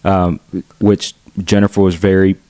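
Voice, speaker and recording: Middle-aged man, Southern accent, lowish voice, dry close sound, outgoing and chatty. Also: little pitch movement, measured pace, warm tone, smooth, no room sound. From United States. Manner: outgoing, chatty, laughs while telling stories. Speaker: podcast guest. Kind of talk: casual conversational storytelling.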